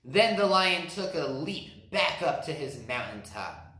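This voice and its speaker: Brief: male teacher reading to kids, bright voice, lively pitch. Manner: slow, expressive narration. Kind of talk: storybook read-aloud. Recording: roomy echo.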